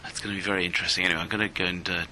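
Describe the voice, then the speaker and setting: Deep, flat-voiced man with a hesitant delivery. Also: rapid-fire, slight background noise. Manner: hesitant, many fillers. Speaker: podcast host. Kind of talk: casual audio diary. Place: apartment, early morning. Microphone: handheld recorder.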